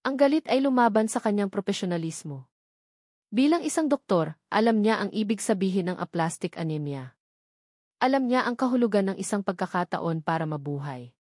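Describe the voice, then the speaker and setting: A woman's voice: medium pitch, expressive, fairly quick, a little mellow, no echo, clean studio sound. A woman with a Filipino accent narrating a scripted dramatic story.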